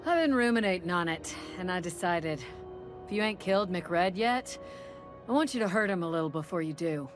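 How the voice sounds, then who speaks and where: A woman's voice: lowish voice, animated, a little fast, music in the background, close to an everyday voice. A woman voicing a blunt female commandant who hands out bounties in a video game. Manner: blunt, folksy. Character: gruff female commandant, vengeful bounty giver.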